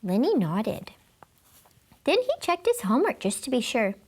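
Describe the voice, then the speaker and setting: A woman reading a children's storybook aloud in expressive narration. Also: a little high, normal speed, husky. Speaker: storybook reader.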